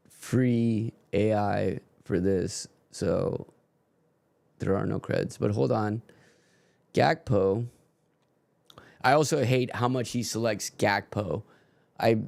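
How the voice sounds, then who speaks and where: A man's voice: on the low side, vocal fry, a little mellow, no echo. A man streaming a live football match and ranting frankly about his team's manager, swearing freely in casual chat.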